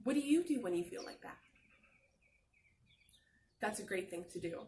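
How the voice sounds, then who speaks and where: A woman's voice: medium pitch, lively intonation, normal speed, mellow, reverberant, slight background noise. A woman doing an interactive picture-book read-aloud for children, encouraging them with questions and praise.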